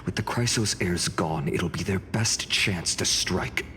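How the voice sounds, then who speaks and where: A man's voice: low-pitched, unhurried, pushed and bright, slight background noise, full character voice. A man playing an earnest, courteous young heroic leader in a game, composed and polite. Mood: serious. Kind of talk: scripted game drama acting. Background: music.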